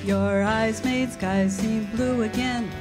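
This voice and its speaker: A woman's voice: on the high side, fairly even intonation. A woman jazz singer crooning a swing ballad on a live stage. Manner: slow, sustained crooning. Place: ballroom stage, live show. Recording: band music behind.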